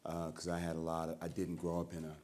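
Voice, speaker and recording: Very deep-voiced man, deliberate. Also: completely flat delivery, darkish tone, slight background noise. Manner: halting, deliberate, repeats phrases. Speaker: roundtable participant. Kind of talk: reflective personal testimony. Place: indoor roundtable discussion at a museum.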